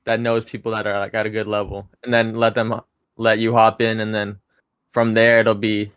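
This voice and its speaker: A man's voice: fairly low pitch, monotone, normal speed, fairly bright tone, clear, resonant tone, no echo, highs cut off. A man in his twenties, a podcast guest chatting casually, rambling a little with restarts and fillers. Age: 20s.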